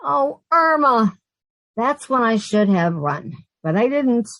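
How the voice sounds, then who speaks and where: A woman's voice: fairly high pitch, sing-song, slow, fairly bright tone, no echo, lo-fi sound, exaggerated voice. A woman playing a wry, long-suffering young city woman who narrates and wisecracks sardonically in a comic old-time radio play. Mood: resigned, fond.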